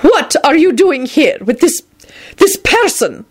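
A woman's voice: fairly high pitch, sing-song, normal speed, slightly rough, voice pushed up. A woman voicing a stern, irritated headmistress with a commanding, disapproving manner.

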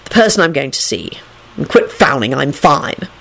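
A woman's voice: sits low, big pitch swings, normal speed, creaky, fairly bright tone, heavily acted, poor audio. A woman voicing a brusque, irritable grown man in a story, curt and grumbling.

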